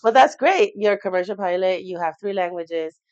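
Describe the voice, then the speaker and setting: A woman's voice: medium pitch, brisk, a little mellow, smooth, no room sound, dull audio. A woman in her twenties hosting a podcast, asking questions casually and affirming her guest's answers. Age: 20s. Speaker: podcast host.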